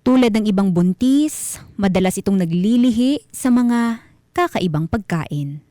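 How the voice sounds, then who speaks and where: A woman with a Filipino accent narrating scripted horror stories as a radio host, in a deliberate, dramatic manner. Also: mid-range voice, lively intonation, dark tone, no room sound, studio quality.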